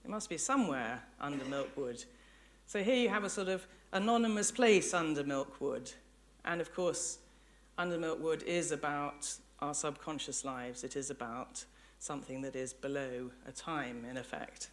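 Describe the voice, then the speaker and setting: A woman's voice: sits low, normal speed. Reflective English woman giving a lecture. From England. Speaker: lecturer, professor. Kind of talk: public academic lecture. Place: lecture hall before an audience.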